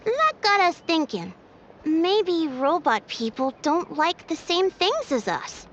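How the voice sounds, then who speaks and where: A woman's voice: extremely high pitch, takes it slowly, lo-fi sound, slight background noise, heavily acted. A woman voicing a spirited, confident village child in a video game. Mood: admiring. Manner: eager, animated, childlike. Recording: dulled game audio with music. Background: game music.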